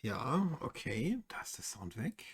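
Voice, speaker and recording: A man with a German accent giving casual game commentary as a let's play host, in a husky voice. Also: medium pitch, sing-song, a little slow.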